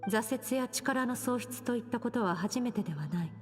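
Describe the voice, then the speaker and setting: Brief: actress as a firm, determined young female warrior in a game, brisk delivery, game music underneath. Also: a little high, lively intonation, a little mellow, big-room sound, close to an everyday voice. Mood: resolute.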